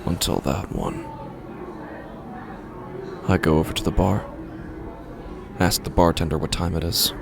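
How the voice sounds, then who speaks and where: A man narrating a first-person horror story in a halting, uneasy way, often breaking off mid-sentence, over a music score. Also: low voice, creaky. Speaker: podcast narrator.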